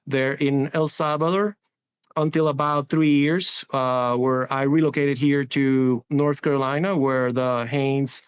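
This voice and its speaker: A man's voice: mid-range voice, steady tone, measured pace, smooth. A man in his forties from Honduras, answering interview questions in an earnest way, often restarting and adding "right?". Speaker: sustainability executive guest.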